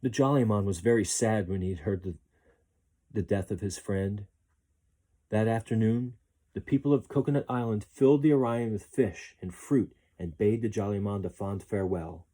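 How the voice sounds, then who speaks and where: A man reading a children's story aloud as a storyteller, expressive and deliberate. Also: on the low side.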